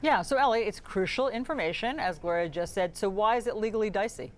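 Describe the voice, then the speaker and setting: A woman's voice: medium pitch, fast talker. A woman anchoring live news, asking analysts questions in a conversational way.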